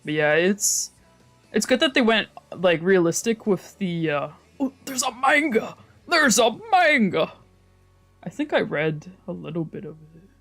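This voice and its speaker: A woman streamer playing a visual novel, mixing casual, slangy, expressive commentary with reading the game text aloud. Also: medium pitch, a little slow. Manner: expressive, reactive, exclamatory, slangy. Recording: music playing behind the voice.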